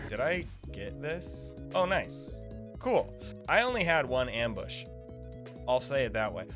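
A man's voice: mid-range voice, very animated, unhurried, over music. A man in his thirties giving casual, playful gameplay commentary, talking to himself into a close desk microphone. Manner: playful, talks to himself and the game. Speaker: video game streamer. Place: small indoor room at a desk. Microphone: boom-arm desk microphone, close.

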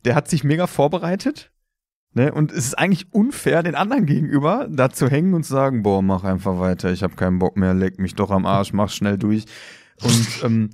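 A man's voice: medium pitch, expressive, fairly quick, a little mellow, no echo, clean studio sound. A man co-hosting a podcast in casual chat, feeling his way through his thoughts with tag questions. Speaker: podcast co-host.

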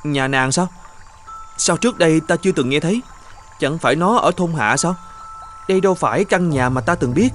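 A man voicing an earnest, devoted young bridegroom in an animated folktale, questioning and polite. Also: a little high, moderate pace, long pauses, natural voice. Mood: puzzled. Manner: earnest, questioning, old-fashioned polite speech. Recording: music under the voice.

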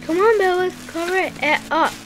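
A high voice playing a slow, put-upon lazy farmer man in a homemade stop-motion cartoon, whiny and weary. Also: fairly bright tone, faint music behind, rough recording.

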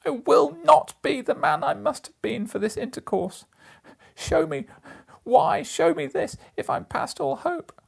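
A man's voice: extremely high pitch, expressive, measured pace, vocal fry, voice pushed up, exaggerated voice. A man voicing an anguished, repentant old miser who pleads dramatically with a spirit in a storybook reading. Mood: desperate pleading. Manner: dramatic, beseeching delivery.

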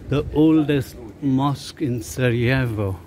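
A man talks casually into a handheld camera as he walks through an old pedestrian street. His pitch sits in an ordinary middle range, his remarks are short and offhand, and clear street noise runs behind him the whole time. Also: unhurried, mellow. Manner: asks strangers for directions, short offhand remarks. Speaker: travel vlogger. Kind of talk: casual walking vlog narration.

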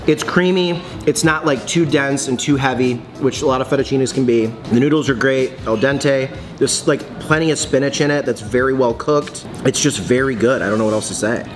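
A man in his forties vlogging in a bright coffee shop, excitable and gushing, laughing often as he tastes dishes. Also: mid-range voice. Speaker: food vlogger. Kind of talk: casual food review chat. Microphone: phone camera at arm's length.

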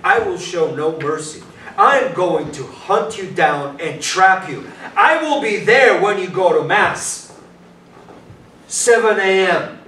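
A man's voice: sits high, animated, bright, forceful tone, heavily acted. A middle-aged man playing a stern, relentless police detective interrogating a suspect in a staged play reading. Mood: threatening. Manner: interrogating, menacing, deliberate. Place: small indoor venue, before a seated audience. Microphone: far camera. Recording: roomy distant stage recording with noise.

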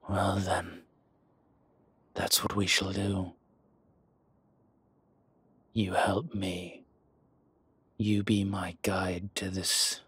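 A suave dragon mob boss acted by a man schemes in a deep, breathy voice. He speaks slowly and evenly, with deliberate pauses, in a close, dry audio roleplay. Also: sharp tone, no room sound, full character voice. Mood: conspiratorial. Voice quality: husky, breathy. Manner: measured, smooth-talking, deliberate pauses. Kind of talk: slow, intimate scripted roleplay monologue.

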